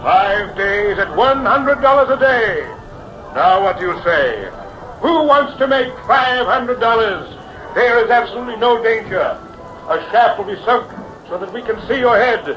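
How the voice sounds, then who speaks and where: A man's voice: sits high, animated, unhurried, a bit bright, a little hiss or hum. An actor playing a pushy carnival showman hypnotist with theatrical, declamatory patter, in the style of mid-century radio drama. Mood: coaxing. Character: showman hypnotist professor, pushy, smooth-talking carnival barker. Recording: dull vintage radio recording, music behind.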